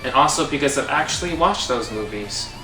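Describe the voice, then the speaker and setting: A man's voice: medium pitch, animated, fairly quick. A young man hosting a comedic movie review, sarcastic and exasperated. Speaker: host. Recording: echoey, distant, under music.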